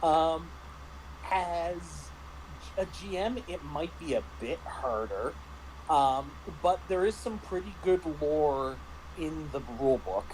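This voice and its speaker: Halting man chatting over background noise. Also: a little high, lively intonation, a little mellow, poor audio. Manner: halting, with repeated words and false starts. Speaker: panelist reviewing a tabletop roleplaying game. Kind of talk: casual discussion and review.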